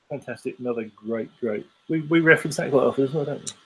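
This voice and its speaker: Man with a husky, mid-pitched voice, relaxed and bantering. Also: low-quality recording. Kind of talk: casual chat over a call.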